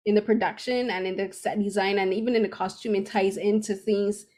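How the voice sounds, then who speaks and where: A woman from Barbados, hosting a casual podcast chat and talking in an animated, rambling way full of fillers and restarts. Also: mid-range voice, fairly quick. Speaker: podcast host. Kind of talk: casual chat about a TV drama.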